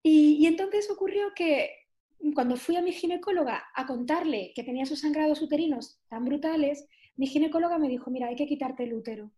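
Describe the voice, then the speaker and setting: A woman, a nutrition therapist guest, recounts her own experience in a talkative, meandering way. Her voice sits high but sounds warm and soft rather than bright. She leans on fillers and little tag questions as she goes. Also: fairly quick. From southern Spain. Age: 40s. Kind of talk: personal storytelling in an interview.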